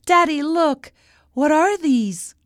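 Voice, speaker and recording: A woman's voice: a little high, animated, unhurried, darkish tone, dry recording, clean studio sound, voice pushed up. A woman voicing a sweet, eager little girl princess full of wonder in a children's storybook. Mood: excited.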